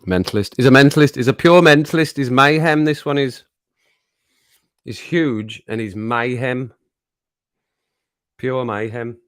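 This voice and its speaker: A man hosting a casual livestream, his voice a bit croaky from singing, chatting to viewers at home and laughing often. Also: mid-range voice, unhurried, pauses often. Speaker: livestream host reading chat. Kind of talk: casual chat to a live audience. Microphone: home streaming mic with compressor.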